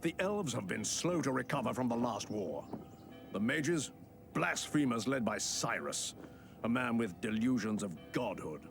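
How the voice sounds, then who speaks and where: A dutiful, commanding knight guardian with a gravelly, animated voice over a game score. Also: male voice, mid-range voice, unhurried. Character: stern loyal male knight protector, Godric, dutiful. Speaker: game character. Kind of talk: game cutscene voice acting. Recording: game audio with music behind.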